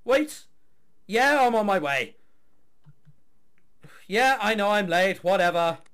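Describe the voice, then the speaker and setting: A man's voice: sits high, expressive, takes it slowly, a bit bright, smooth, heavily acted. A man reading the visual novel lines of a tough, cocky young female street fighter, defiant and dismissive. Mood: brusque, dismissive. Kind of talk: visual novel dialogue read aloud.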